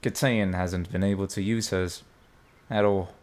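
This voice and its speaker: A man plays a reserved soldier in an audio drama. His voice is fairly low, and he speaks in short, formal phrases with a dry manner. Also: fairly even intonation, a little slow, darkish tone.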